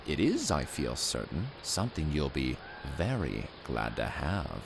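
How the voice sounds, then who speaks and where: A man playing a mysterious, courteous door-to-door salesman in an audio drama, speaking in a formal, smooth way. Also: rumbling low, huge pitch swings, a little slow, background noise, heavily acted. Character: mysterious polite male salesman dealing in calendars.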